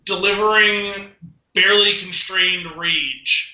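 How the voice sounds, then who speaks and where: Bright, high-voiced man in a roomy space. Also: steady tone, slow. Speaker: podcast co-host. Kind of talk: casual opinionated film discussion. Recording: echoey, roomy sound.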